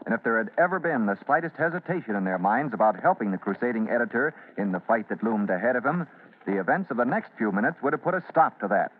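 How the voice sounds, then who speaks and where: A man's voice: mid-range voice, lively intonation, fast talker. A man narrating a radio drama as its announcer, in an emphatic, declamatory old-time broadcast style. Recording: tinny, faintly noisy vintage radio recording.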